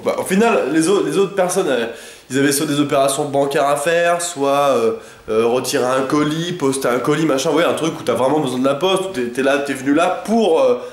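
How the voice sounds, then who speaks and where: A young man with a head cold, sounding congested, chatting casually in a rambling vlog with lots of hesitations and slang. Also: fairly high pitch. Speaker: vlogger. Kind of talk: casual daily vlog chat. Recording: echoey room.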